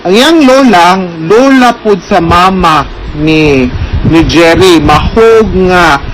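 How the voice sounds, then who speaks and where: A Filipino man with a high-pitched voice talks as an advice host. He explains things in a conversational way, slipping into English and checking in with little tags. There is slight background noise on the recording. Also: lively intonation, takes it slowly, fairly bright tone, poor audio.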